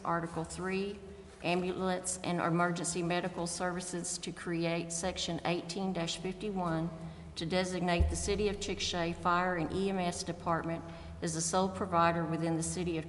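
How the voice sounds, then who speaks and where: A woman's voice: fairly low pitch, a little hiss or hum. Woman chairing a meeting in an echoey chamber. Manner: steady, measured reading of legal text. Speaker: presiding council official. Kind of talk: formal meeting procedure, reading agenda items. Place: council chambers. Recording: echoey chamber with long reverb.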